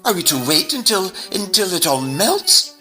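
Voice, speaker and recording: A man playing a fussy, flustered Victorian gentleman in a farcical audio drama, fretful and exclaiming. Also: high-pitched, sing-song, normal speed, faint music behind, heavily acted. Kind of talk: audio drama farce acting.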